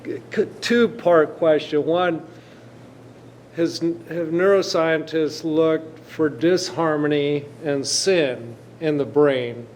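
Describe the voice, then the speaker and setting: A man's voice: a little high, takes it slowly, slight background noise. A man in the audience asking a careful two-part question. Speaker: audience member.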